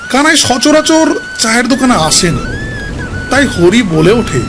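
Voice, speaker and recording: A man's voice: very high-pitched, sing-song, measured pace. A man giving a dramatic scripted narration of a ghost story as storyteller. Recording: music behind the narration.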